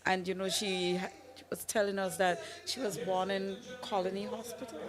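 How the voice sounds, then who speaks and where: A woman's voice: medium pitch, little pitch movement, moderate pace, a crowd in the background. A Grenadian woman co-commentating on a live show in a chatty, agreeable way. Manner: agreeable, chatty, light laughter in the voice. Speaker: broadcast co-commentator.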